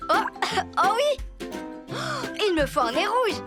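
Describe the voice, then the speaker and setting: A performer voicing an eager, dreamy young rabbit child in a French preschool cartoon, with expressive exclamations and an impatient but apologetic nature. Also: extremely high pitch, slow pace, music in the background, exaggerated voice. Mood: playful.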